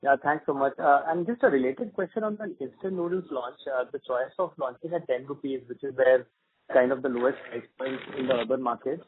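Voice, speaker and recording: Male analyst dialling in, slightly rough voice, tentative questioner, tinny phone audio. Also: medium pitch, brisk. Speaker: financial analyst caller. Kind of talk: questioning on an earnings call. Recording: tinny, narrow phone line.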